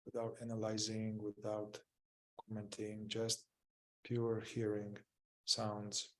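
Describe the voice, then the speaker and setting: A man leading a guided mindfulness meditation as a teacher, gently and without hurry, leaving long pauses between short phrases. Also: lowish voice, monotone, poor audio.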